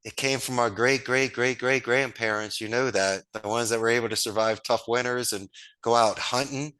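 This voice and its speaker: A man's voice: medium pitch, fairly even intonation, moderate pace, bright, forceful tone, smooth. A man, a guest telling his business story with enthusiasm and folksy sayings. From Northeast Philadelphia, USA. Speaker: guest, call center founder. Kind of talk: casual interview talk.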